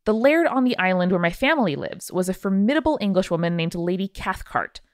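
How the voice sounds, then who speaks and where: Woman, podcast host, casual storytelling, wry asides, irreverent, middle pitch, very little room sound. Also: lively intonation, brisk, dry recording, clean studio sound. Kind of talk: reading out listener email with casual commentary.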